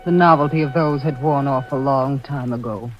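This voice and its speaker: A woman's voice: rumbling low, moderate pace. A woman acting an anxious, defensive wife in an old-time radio suspense drama. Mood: fearful, brooding. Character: anxious, defensive wife who narrates her suspicions. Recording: dull vintage radio recording with music.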